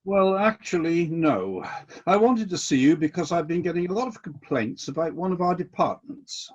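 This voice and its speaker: An actor playing an exacting, fair-minded celestial boss in a satirical radio play, speaking in a measured, questioning and politely insistent way. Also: medium pitch, lively intonation, dull audio, natural voice. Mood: concerned.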